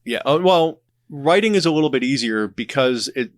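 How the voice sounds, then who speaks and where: Man talking casually, medium pitch, very dry sound. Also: lively intonation, normal speed, dry recording. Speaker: podcast guest, writer and audio drama creator. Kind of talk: casual conversational interview.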